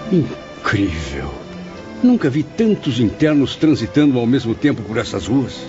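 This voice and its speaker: A man's voice: mid-range voice, big pitch swings, unhurried. A man playing a curious, courteous newcomer spirit in an audio drama. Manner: eager, courteous. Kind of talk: dramatised audio-book dialogue. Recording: dull lo-fi audio, faint music. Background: faint background music.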